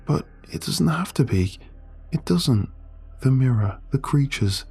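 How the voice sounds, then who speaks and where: A man's voice: medium pitch, animated, measured pace, mellow, husky, pitched above the performer's own voice, full character voice. A man voicing a pleading, desperate teenage boy. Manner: pleading and urgent.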